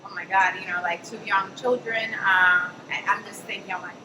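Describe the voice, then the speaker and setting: A woman's voice: mid-range voice, steady tone, moderate pace. Woman host, chatty storyteller, heard from a distance in a noisy, echoey café. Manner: chatty, many fillers and "I'm like". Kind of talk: casual anecdotal storytelling at a panel. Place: café event space.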